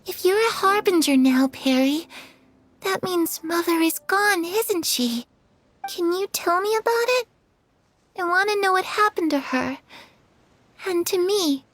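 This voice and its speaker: A woman voicing a gentle, trusting young girl in a video game, earnest and softly wistful. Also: extremely high pitch, expressive, no echo, heavily acted. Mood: accepting, curious. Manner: soft, unhurried, earnest. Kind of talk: dramatic video game acting.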